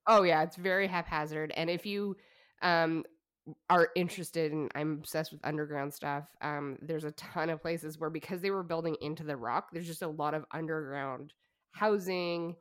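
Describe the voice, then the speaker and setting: A woman's voice: low-pitched, steady tone, moderate pace, clean tone. A woman co-hosting a podcast, telling a story in casual chat with fillers and laughs. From Canada. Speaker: podcast co-host.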